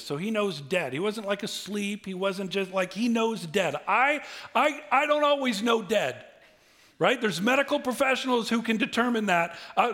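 Man, pastor, middle-aged, sermon, joking and conversational, fairly high voice. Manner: humorous, conversational preaching with big swings in loudness.

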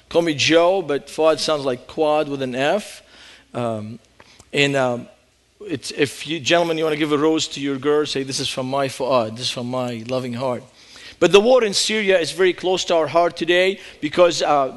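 Animated Lebanese man preaching. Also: on the high side. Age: middle-aged. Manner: animated storytelling, rising emphasis. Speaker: guest preacher. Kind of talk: sermon. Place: church.

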